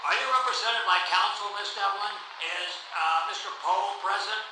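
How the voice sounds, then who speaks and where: A man's voice: high-pitched, moderate pace, natural voice. Male actor as flustered, pompous congressional chair, 1950s newsreel; very reverberant, noisy recording. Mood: flustered, officious. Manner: pompous, stammering. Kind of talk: 1950s newsreel hearing, radio drama acting. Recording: very echoey hall, noisy mock newsreel soundtrack.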